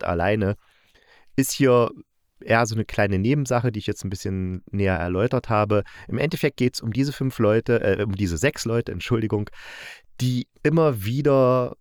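A man's voice: low-pitched, no echo, clean studio sound. A man giving a casual spoken review, chatty and thinking aloud as he goes. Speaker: reviewer of audio dramas.

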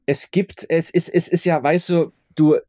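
A man with a moderately high voice talks as a guest in a casual interview. He explains things in a halting way, doubling back on words. The recording is close and dry, free of echo. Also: steady tone, dark tone. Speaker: audio drama maker being interviewed. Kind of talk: casual conversational interview answers.

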